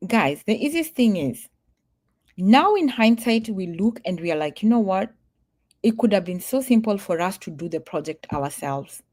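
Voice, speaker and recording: A woman's voice: mid-range voice, sing-song, moderate pace, a little mellow. A woman from Kenya talking casually to her livestream viewers, earnest and emphatic, repeating her warnings and laughing easily. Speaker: vlogger.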